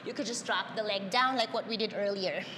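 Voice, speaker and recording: A woman's voice: mid-range voice, brisk, reverberant, long reverb tail, background noise. A woman whose first language is Tagalog, leading a yoga class, cueing breaths in an upbeat way with frequent cheers. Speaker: yoga instructor. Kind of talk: guided yoga class instruction.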